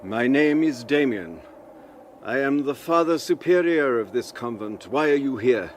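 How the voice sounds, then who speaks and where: A man's voice: mid-range voice, animated, a little slow, slight background noise, natural voice. Man playing a stern, forbidding Father Superior. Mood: suspicious, imperious. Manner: severe, imperious, formal. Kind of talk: period radio drama acting.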